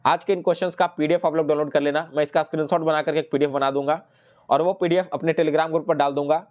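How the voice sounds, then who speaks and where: Higher-voiced man teaching. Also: steady tone, fast talker. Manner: instructional, direct address to viewers, English terms mixed in. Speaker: exam-prep teacher and channel host. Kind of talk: explanatory exam review addressed to students.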